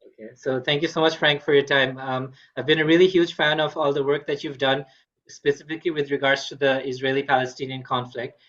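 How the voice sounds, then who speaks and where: A man hosts an interview podcast in a mid-pitched voice, polite and formal, with frequent fillers. The recording sounds slightly dull and muffled. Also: level tone, a little fast, a bit bright. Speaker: podcast host.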